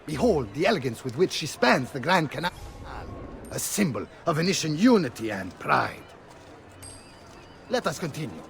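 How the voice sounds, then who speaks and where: A man's voice: a little high, very animated, normal speed, pauses often, a little hiss or hum. A man playing a proud Venetian tour guide in a video game, with an Italian accent and a theatrical, effusive manner. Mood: proud, gushing. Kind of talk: video game character acting.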